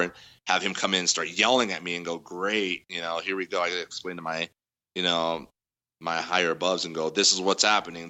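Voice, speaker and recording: Deep-voiced man telling a rambling story. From Denver area. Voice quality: loud voice. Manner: rambling storytelling, heavy fillers. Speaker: guest musician. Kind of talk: casual interview chat.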